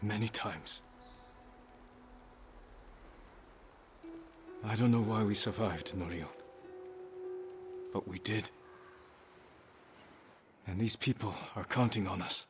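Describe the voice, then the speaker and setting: A man playing a stern, steadfast samurai lord in a video game, speaking in a measured, terse, commanding way. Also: over music, natural voice. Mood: solemn, reassuring. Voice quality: low-pitched. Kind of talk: dramatic video game acting.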